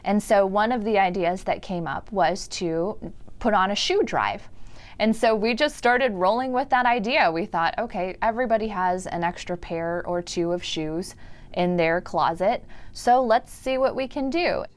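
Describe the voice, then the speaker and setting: A woman's voice: mid-range voice, normal speed. A young woman from a speech and debate team, explaining her team's work in an earnest interview. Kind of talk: interview answers.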